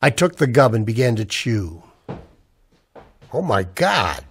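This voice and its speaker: A man's voice: medium pitch, sing-song, slow pace, fairly bright tone, slightly rough, exaggerated voice. An actor playing a tense, defiant man narrating a noir sci-fi ordeal in old radio drama style, hard-boiled and urgent. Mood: grim, reflective. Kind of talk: 1940s radio drama acting with first-person narration.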